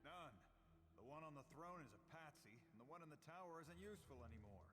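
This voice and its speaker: A man's voice: fairly high pitch, lively intonation, quick pace, a bit bright. A man playing a gossipy, mocking villain's henchman in a video game, telling things in a jokey way. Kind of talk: video game character acting. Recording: distant reverberant game audio, faint noise.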